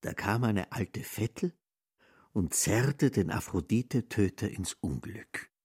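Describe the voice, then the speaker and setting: Very raspy, low mocking commentator. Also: male voice, animated, takes it slowly, a little mellow, dry recording, clean studio sound, heavily acted. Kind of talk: radio play narration.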